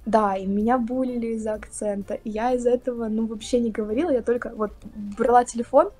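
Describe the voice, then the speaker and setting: A woman's voice: a little high, fast, faint music behind. Young Russian-born woman on a video call. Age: young adult. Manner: chatty, animated hand gestures. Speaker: guest. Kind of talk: casual video-call chat. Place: indoor room, plain wall.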